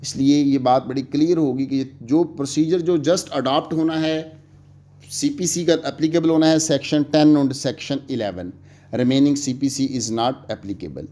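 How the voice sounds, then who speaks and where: A man delivers a recorded law lecture. His voice sits in a middle pitch, and he explains each point steadily, slipping English legal terms into his talk. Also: unhurried, warm tone.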